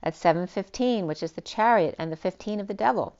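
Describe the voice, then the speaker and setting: A woman giving a spiritual tarot reading, rambling and free-associating with many restarts, her voice going hoarse. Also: medium pitch, fairly quick, a little mellow, muffled recording. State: losing her voice. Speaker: tarot reader.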